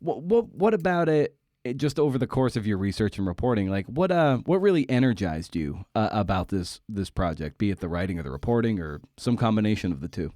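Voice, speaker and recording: A man's voice: lowish voice, big pitch swings, brisk, warm tone, no echo, studio quality. A man hosting a casual interview, feeling his way tentatively into long questions with some stammering. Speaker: podcast host, interviewer.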